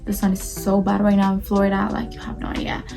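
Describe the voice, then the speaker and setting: Casting note: early-20s woman from Cuba, Spanish first language, chatty, rambling makeup vlog delivery, middle pitch, soft music behind. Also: completely flat delivery, fast, creaky. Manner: chatty, rambling, lots of fillers and restarts. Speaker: beauty vlogger. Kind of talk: casual get-ready-with-me chat.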